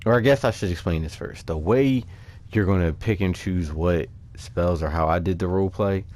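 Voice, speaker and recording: Low-voiced man casually explaining a game build. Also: darkish tone. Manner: halting, informal slang. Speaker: gaming video creator presenting character builds. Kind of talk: casual unscripted gameplay walkthrough commentary.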